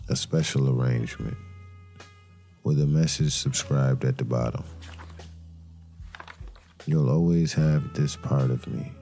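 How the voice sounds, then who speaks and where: A man playing a brooding detective, narrating a crime drama in a somber, deliberate noir style with dramatic pauses. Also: extremely low pitch, vocal fry, mellow, full character voice. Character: brooding male detective narrating a murder case. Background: music.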